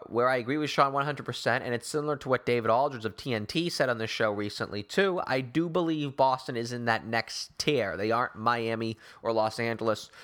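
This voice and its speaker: A man's voice: medium pitch, fairly bright tone. Man giving emphatic, opinionated sports talk. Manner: emphatic, rambling, sarcastic asides. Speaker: sports talk show host. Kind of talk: opinionated basketball talk commentary.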